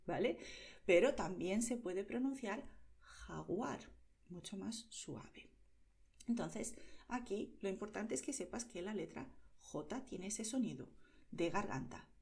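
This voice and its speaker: A woman from Spain with a Madrid accent teaching Spanish pronunciation, demonstrating words carefully, sometimes softly, and checking in with "¿vale?". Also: mid-range voice, gravelly. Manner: demonstrates words, often softly whispered, asks "¿vale?" constantly. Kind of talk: slow, careful pronunciation lesson.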